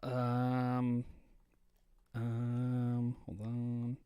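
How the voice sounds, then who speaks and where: An actor playing a flustered radio station host in a radio drama, stammering and hesitant. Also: male voice, medium pitch, monotone, very slow, warm tone, smooth, no room sound, clean studio sound. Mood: flustered, disbelieving. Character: flustered male radio station host, keeping the show going.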